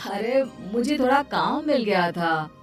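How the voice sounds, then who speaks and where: A woman's voice: fairly high pitch, animated, measured pace, far from the mic, soft background music. A woman voicing a patient, dutiful elder brother in a cartoon story, with a mild, placating manner. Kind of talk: Hindi cartoon story voice acting.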